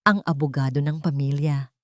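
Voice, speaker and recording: A woman's voice: deep voice, fairly even intonation, normal speed, dry recording, studio quality, voice pushed down, close to an everyday voice. A woman voicing a troubled, grave elderly nanny in an audio drama. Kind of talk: Tagalog audio drama dialogue.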